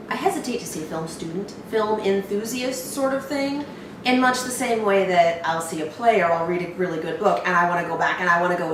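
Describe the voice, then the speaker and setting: A woman co-hosting a reaction show, giving blunt, wry opinions in casual film review chat. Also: medium pitch. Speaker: co-host of a horror trailer reaction show. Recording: heavy room echo, distant mic, faint noise.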